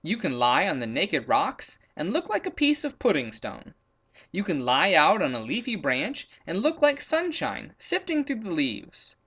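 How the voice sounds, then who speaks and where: A man voicing a practical, bossy hunter in a children's storybook, brisk and instructive. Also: sits high, huge pitch swings, a bit bright, husky, heavily acted. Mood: pleased, brisk. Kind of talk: storybook character voice in a read-aloud.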